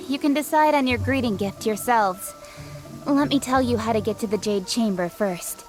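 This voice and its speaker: A woman playing a brisk, businesslike young official in a video game, formal and matter-of-fact. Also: on the high side, close to an everyday voice.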